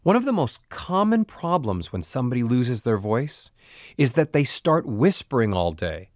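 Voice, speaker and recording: A man teaching vocal exercises as a voice coach, speaking clearly and now and then demonstrating the sounds he means. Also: medium pitch, very animated, normal speed, a little mellow, no room sound, clean studio sound. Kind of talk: instructional audiobook narration.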